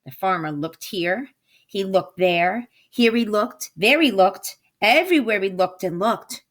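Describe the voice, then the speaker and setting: A woman's voice: medium pitch, expressive, unhurried. A woman reading a children's picture book aloud, emphatic and sing-song in her narration. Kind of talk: expressive children's storybook narration.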